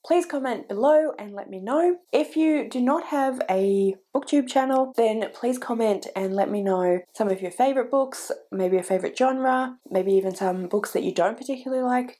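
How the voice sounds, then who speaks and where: A woman chatting casually to camera about book recommendations, sounding stuffy and croaky from a cold, wandering a little and correcting herself as she goes. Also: a little high, lively intonation, fairly quick, few pauses, dark tone. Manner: chatty and meandering, with restarts and self-corrections. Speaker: book vlogger.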